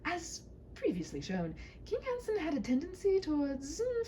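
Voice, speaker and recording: A woman's voice: high-pitched, warm tone, a little hiss or hum. A woman reading a humorous story aloud as a storyteller, whimsical, with conversational asides.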